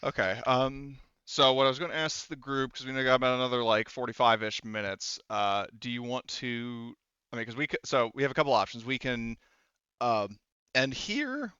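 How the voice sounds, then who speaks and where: A man running a tabletop roleplaying game casually as game master, talking in a halting, rambling way. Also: mid-range voice, bright, forceful tone, clean tone, no room sound, highs cut off.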